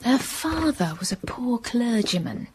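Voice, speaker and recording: A kind young nursery maid speaks softly and soothingly. She sounds gentle and motherly in a period radio drama with faint hiss. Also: a little high, sing-song, unhurried. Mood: sad. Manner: warm and soothing.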